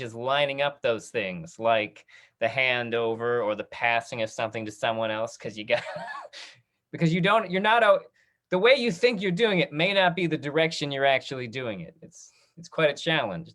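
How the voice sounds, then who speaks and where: A man's voice: medium pitch, a bit bright. Man hosting a casual online discussion. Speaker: host of a talk back discussion. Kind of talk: casual interview over video call. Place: remote video call.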